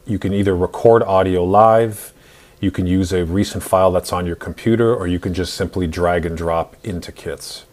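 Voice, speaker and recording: Low-voiced man giving a software tutorial. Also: steady tone, moderate pace. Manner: instructional, step-by-step. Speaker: tutorial host. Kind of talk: screen-recorded software tutorial narration.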